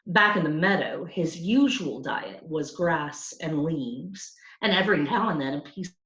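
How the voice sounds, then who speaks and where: Female storyteller for children's fiction, lively melodic range, deliberate read-aloud delivery, slightly muffled lo-fi room sound. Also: sits low. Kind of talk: expressive scripted audiobook narration for children. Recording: roomy, dull lo-fi home recording.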